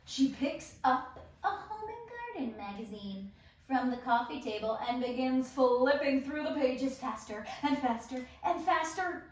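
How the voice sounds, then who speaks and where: In a very echoey room, a little off the mic, a woman reads out stage directions for an amateur table read. Her voice is high and her pace is slow. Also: expressive, recorded from a distance.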